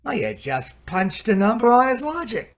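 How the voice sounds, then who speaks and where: A man's voice: very high-pitched, very animated, moderate pace, fairly bright tone, slight background noise, full character voice. An actor playing a folksy, patient gadget salesman in a radio play, chatty and colloquial, hemming and hawing. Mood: obliging sales patter.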